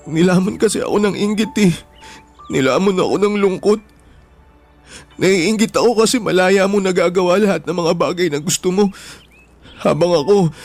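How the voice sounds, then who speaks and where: An actor playing a wounded, bitter younger brother with a Filipino accent in a radio drama, emotional and plaintive. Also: male voice, sits high, a little mellow. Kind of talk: Tagalog radio drama acting. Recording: background music throughout.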